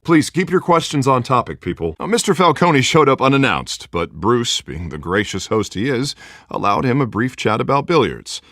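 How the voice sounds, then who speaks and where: A man's voice: medium pitch, sing-song, moderate pace, a bit bright, no echo, clean studio sound. An actor playing a glib, personable male politician in a video game, speaking in a jocular way. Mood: smooth, managing.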